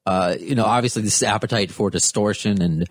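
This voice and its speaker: A man hosting a podcast interview, chatting in a friendly, appreciative way with quick asides. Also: on the low side, dry recording. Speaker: podcast host. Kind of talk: casual interview chat.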